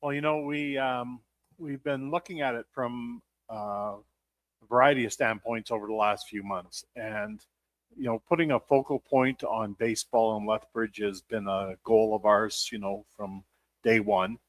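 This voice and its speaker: A man speaking as a team executive in a casual interview, explaining in halting, drawn-out phrases. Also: mid-range voice, dry recording. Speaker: team general manager and president.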